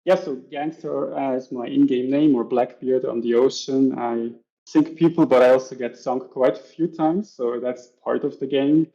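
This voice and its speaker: A man's voice: medium pitch, steady tone, normal speed, hardly any pauses, dark tone, highs cut off. A man from Switzerland with a Swiss German accent, chatting as a podcast guest and acting out little scenes from his stories. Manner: chatty, acts out voices in stories. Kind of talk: casual podcast interview.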